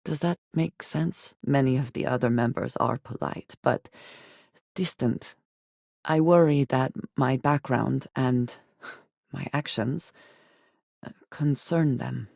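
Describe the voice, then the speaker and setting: Guarded space companion, female, low-key creaky edge, formal measured phrasing, earnest, dry close recording, video game dialogue. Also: darkish tone, dry recording, full character voice. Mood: tender, hesitant. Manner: formal, measured wording without contractions.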